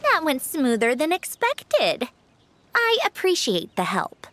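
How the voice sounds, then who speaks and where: A woman's voice: high-pitched, huge pitch swings, takes it slowly, fairly bright tone, a little hiss or hum, full character voice. A woman voicing a proud, guarded young woman in a video game, clipped, matter-of-fact and aloof. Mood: cool, wistful. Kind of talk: English video game voice acting.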